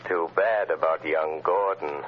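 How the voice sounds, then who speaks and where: An actor playing a commanding, mysterious crime fighter in old radio drama style, with deliberate, intense, ominous delivery. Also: male voice, a bass voice, steady tone. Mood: menacing, taunting. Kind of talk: 1930s-40s radio drama acting. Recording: muffled, noisy old radio recording.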